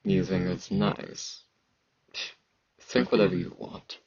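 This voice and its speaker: A man playing an aloof, battle-hardened fantasy knight in an ASMR roleplay, halting and terse, with a husky, gravelly voice and sibilant S sounds. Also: darkish tone, dry recording, dull audio, full character voice. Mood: resigned, stoic. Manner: halting, terse, low-key, socially awkward.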